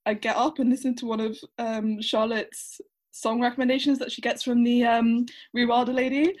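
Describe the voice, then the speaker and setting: Upbeat woman in her twenties. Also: a little high. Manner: upbeat, laughs often. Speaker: podcast co-host. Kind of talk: casual chat.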